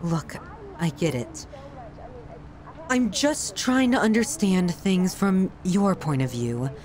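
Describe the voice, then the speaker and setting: A woman's voice: on the low side, animated, slow, crowd noise. A woman playing a sadistic, possessive yandere in an ASMR horror roleplay, theatrical and sighing, shifting between sweetness and scorn. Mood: scornful, self-justifying.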